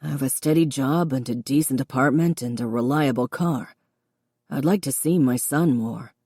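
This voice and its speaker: Older father, read by a woman, remorseful, reedy, soft, dry close sound. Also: a bass voice, moderate pace, breathy edge, no room sound, exaggerated voice. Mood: pleading, tender. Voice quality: reedy, quiet.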